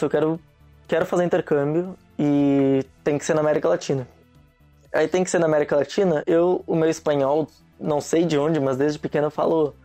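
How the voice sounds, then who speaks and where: A young man with a mellow, slightly raised voice tells his stories at an easy pace. He talks loosely and in slang, the way people do with a friend. Faint music plays behind him. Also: a little high. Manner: easygoing storyteller, slangy, laughs often. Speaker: guest. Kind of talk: casual chat.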